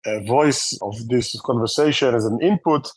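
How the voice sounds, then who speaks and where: A man with an Israeli accent speaks at an ordinary middle pitch, a founder talking through his story in an interview. His answers ramble and restart, full of fillers and self-corrections. The sound is dry and close, with no room echo. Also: moderate pace, darkish tone, husky. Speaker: company founder and CEO.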